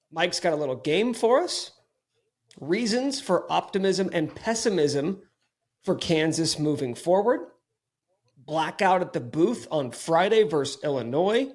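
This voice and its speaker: A man with a fairly high voice hosts a casual sports podcast. He teases his co-host and bosses him around as a joke. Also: darkish tone. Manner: playful, teasing, mock-bossy.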